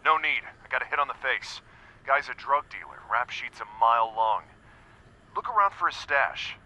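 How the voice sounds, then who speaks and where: An actor playing a protective, exasperated American policeman and older brother, brisk and practical, in a video game. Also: mid-range voice, sing-song, bright, forceful tone, dull audio. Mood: businesslike.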